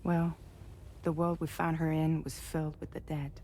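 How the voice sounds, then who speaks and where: A woman playing a protective, compassionate and quietly firm team leader in a video game cutscene, measured and earnest. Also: low voice, flat delivery, a little hiss or hum, natural voice. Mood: concerned, earnest.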